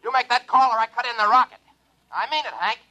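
High-pitched desperate American pilot. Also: male voice, steady tone, fast, pushed and bright, highs cut off, heavily acted. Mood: threatening urgency. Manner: urgent, pleading, insistent. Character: desperate test pilot warning of doom. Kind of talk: 1950s radio drama acting. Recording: old 1950s radio broadcast.

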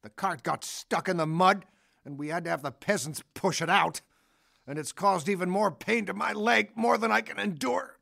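Creaky, cantankerous older man. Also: high voice, moderate pace, sharp tone, heavily acted. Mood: irritated. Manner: blustering, rude. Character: irascible, demanding older male patient in pain. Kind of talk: audio drama acting.

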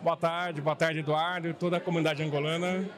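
Male guest, high voice, polite and halting, interviewed with a crowd clearly audible behind. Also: level tone. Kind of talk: spontaneous on-camera interview. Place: indoor hall at community event. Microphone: handheld interview microphone held by interviewer. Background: clear crowd murmur.

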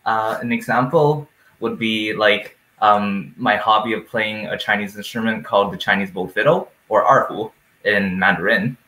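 A man's voice: fairly low pitch, normal speed, pushed and bright, reverberant, poor audio. A young man speaking hesitantly, with many restarts, in a casual video call discussion. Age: young adult. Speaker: student guest. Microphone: headset.

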